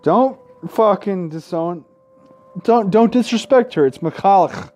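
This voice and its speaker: Sex: man. Pitch: highish. Tone: mellow. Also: lively intonation, slow pace. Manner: irreverent, sweary heckling between read passages. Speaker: gaming video host. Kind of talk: reading visual novel narration aloud with casual commentary.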